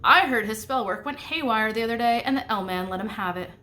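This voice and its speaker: A woman's voice: a little high, a little fast, pushed and bright. A woman voicing a snarky, competitive young boy from hell in a storybook, boastful and scoffing. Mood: snide.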